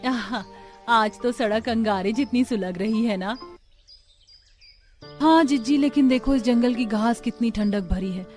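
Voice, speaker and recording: A woman's voice: a little high, unhurried, long pauses, darkish tone, faint music behind, natural voice. A woman voicing practical, outspoken young village daughters-in-law in a cartoon family story. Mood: resourceful, earnest. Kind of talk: Hindi cartoon story dialogue.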